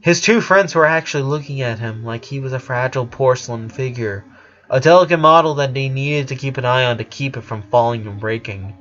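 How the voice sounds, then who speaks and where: A man's voice: medium pitch, fairly quick, a bit bright, lo-fi sound. A man reading a story aloud as narration, sometimes stumbling over his words and correcting himself.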